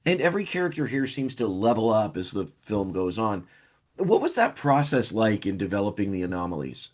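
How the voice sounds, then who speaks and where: A man's voice: on the low side, sing-song, brisk, darkish tone. A man hosting a film interview, putting his questions in an appreciative, considered way.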